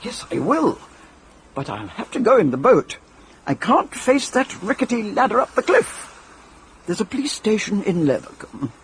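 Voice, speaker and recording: An actress playing a brisk, opinionated, practical English woman in a radio drama, with a no-nonsense manner. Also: mid-range voice, sing-song, slight background noise. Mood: shaken, urgent.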